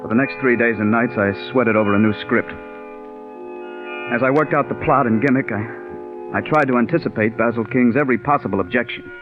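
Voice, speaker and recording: An actor playing an earnest, patient scriptwriter in a polite, measured 1940s radio drama manner. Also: male voice, mid-range voice, natural voice. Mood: earnest narrating. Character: earnest, patient male scriptwriter narrating his own story. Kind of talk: 1940s American radio drama acting and first-person narration. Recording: dull vintage radio recording with music.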